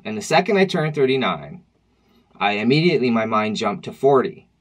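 A man in his late thirties muses to his webcam from a dim room at home. His talk is wry and reflective, circling back on itself as he thinks out loud, in a middle-pitched everyday voice. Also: big pitch swings, a little fast, low-quality recording. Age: 30s. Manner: reflective, wry, conversational with frequent restarts. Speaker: vlogger. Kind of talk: personal vlog monologue.

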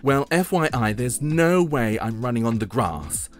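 A man's voice: medium pitch, big pitch swings, a little slow, soft background music. A man voicing a vain, fashion-obsessed schoolgirl from a storybook, whiny, sassy and dramatic. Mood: sassy exasperation.

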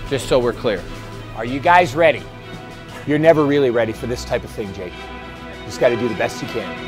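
A man's voice: mid-range voice, brisk, faint music behind. A middle-aged American man, a gym instructor, addressing a group in an emphatic, informal way. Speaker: gym instructor leading a challenge. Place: large gym hall with onlookers.

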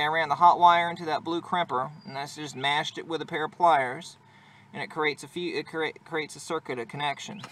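A man with a slightly higher-than-average voice talks through a hands-on tutorial in a casual, unscripted way. He is plain-spoken and sounds occupied with the job as he explains it. The handheld recording from a truck cab carries faint vehicle noise. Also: a bit bright. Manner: plain-spoken, hands busy while talking. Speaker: amateur video maker giving a how-to. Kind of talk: casual improvised tutorial talk. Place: in and around a pickup truck cab. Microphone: handheld phone or camera close to the work.

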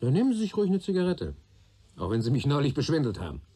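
A husky, shrewd police detective. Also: male voice, a little high, wildly swooping pitch, warm tone, heavily acted. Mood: stern, warning. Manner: probing, deliberate, sardonic, authoritative. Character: shrewd, patient male police detective questioning a young man. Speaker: police investigator. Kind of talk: German radio play dialogue.

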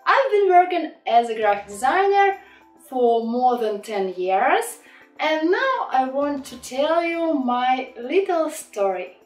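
A young woman with a Russian accent speaks in a high, bright register at a slow, patient pace. She explains design software to beginners in friendly, simple English. The room sounds roomy and the camera mic sits back from her, with faint music underneath. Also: very animated, distant mic. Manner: friendly, unhurried, simple English with small slips. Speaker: graphic design teacher. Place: small home office.

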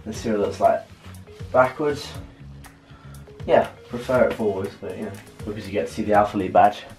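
A man in his twenties with a British accent chats casually and haltingly to camera as a vlogger, trailing off at the ends of phrases. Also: fairly low pitch, a little mellow, soft background music. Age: 20s. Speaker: vlogger unboxing a purchase. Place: small bedroom, later a bathroom. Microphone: camera a couple of metres away.

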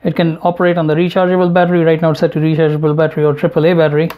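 A man narrating an unboxing and product review in a measured, matter-of-fact way. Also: a little high, monotone, narrow, tinny audio. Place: indoor room at home, at a table. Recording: home recording with clear background noise. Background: noise, handling clicks.

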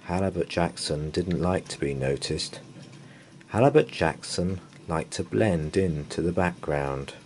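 A man reading a children's bedtime story aloud in an expressive, dramatic way with drawn-out pauses. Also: low voice, slight background noise. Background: open fire ambience.

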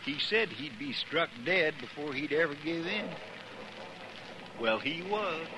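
An actor playing a loyal, deferential deputy in a 1950s radio western, with a rural American accent and folksy talk. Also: male voice, on the high side, expressive, a little slow. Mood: stunned. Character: loyal, folksy deputy sidekick to frontier marshal. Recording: noisy 1950s radio recording.

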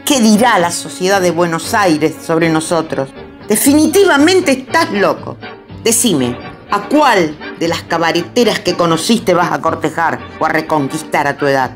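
A woman's voice: on the low side, lively intonation, moderate pace, faint music behind, natural voice. A woman voicing a domineering, scornful older aristocratic mother in a radio drama, with a Rioplatense Spanish accent. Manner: scornful, imperious.

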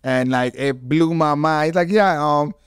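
Smooth, unhurried New man. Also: fairly high pitch. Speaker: podcast host. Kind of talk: casual podcast chat. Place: small studio with foam panels. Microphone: close studio mic.

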